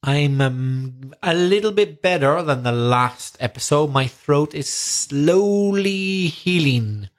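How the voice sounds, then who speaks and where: Male English-teacher co-host, relaxed and conversational. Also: on the high side, slow, few pauses. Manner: relaxed, reads listener emails aloud. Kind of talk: casual podcast chat.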